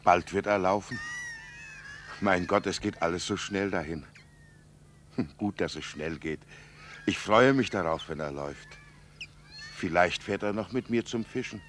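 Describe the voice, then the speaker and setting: A gentle, resigned husband in a radio drama, with a very deep voice. He speaks gravely and sparely, over faint rumbling noise. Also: measured pace, long pauses. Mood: tender, resigned. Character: Giovanni, quiet melancholy husband, gentle and resigned. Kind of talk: German radio play acting.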